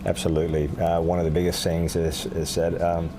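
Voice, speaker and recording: Deep-voiced Peru-born soldier, earnest. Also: male voice, completely flat delivery. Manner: earnest, measured, restarts phrases. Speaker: army sergeant major guest. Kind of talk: live broadcast interview. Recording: noisy outdoor broadcast.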